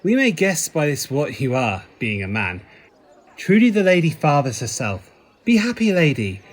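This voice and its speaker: A man's voice: a little high, very animated, moderate pace. A man playing a genial, courtly prince with a gracious, jovial manner in a Shakespearean radio play.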